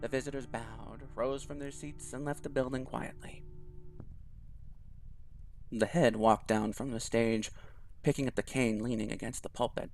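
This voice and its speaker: A man reading a visual novel aloud in a quiet, even storytelling voice. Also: medium pitch, moderate pace, creaky, long pauses, soft background music.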